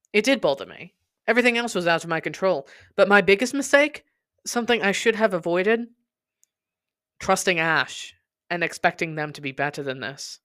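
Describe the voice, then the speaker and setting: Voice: medium pitch, sharp tone, no echo, clean studio sound. A podcast host reading a scripted personal narrative aloud with dramatic, emphatic delivery.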